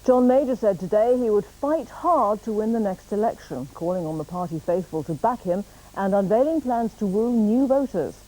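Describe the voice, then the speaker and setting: A woman with a British accent reading scripted news in a formal, measured way. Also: medium pitch, expressive, warm tone, poor audio. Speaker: newsreader.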